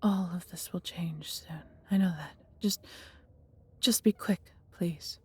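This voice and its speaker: Breathy, husky woman as a devoted dragon. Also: fairly low pitch, slow pace, heavily acted. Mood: anxious, pleading. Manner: tender, theatrical, old-fashioned formal phrasing. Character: devoted, protective, proud injured female dragon, huge. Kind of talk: dramatic fantasy audio roleplay to the listener.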